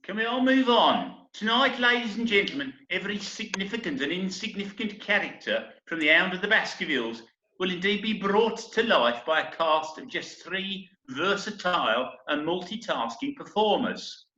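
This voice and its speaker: A man's voice: sits high, expressive, moderate pace, sharp tone. A man playing an earnest, slightly flustered theatre troupe leader addressing the audience in a comic play reading. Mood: earnest, announcing. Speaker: amateur actor in a remote group reading. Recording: echoey, dull internet call recording.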